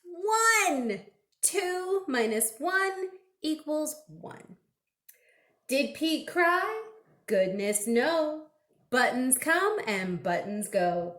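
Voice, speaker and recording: High-pitched woman reading slowly to children. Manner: animated, slow and deliberate questioning with exclamations. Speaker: children's librarian reading picture books at storytime. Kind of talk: interactive read-aloud for young children, with sung refrains. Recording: roomy, echoing sound.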